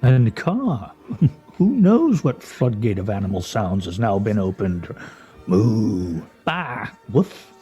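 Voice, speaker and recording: A man's voice: on the low side, very animated, slow, dark tone, faint music behind. An actor playing a dry, pedantic, wryly mocking man in a radio play. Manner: droll, pedantic.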